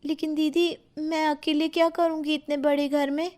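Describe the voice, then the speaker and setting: A woman voicing an innocent, dutiful younger daughter-in-law, earnest and a little plaintive, in an animated family story. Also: high-pitched, normal speed, lightly acted. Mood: pleading, wistful.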